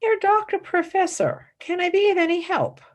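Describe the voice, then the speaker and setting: A woman's voice: very high voice, normal speed. An actress playing a fervent young female philosophy student in a radio play, dramatic and intensely devoted. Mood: eager. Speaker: actor.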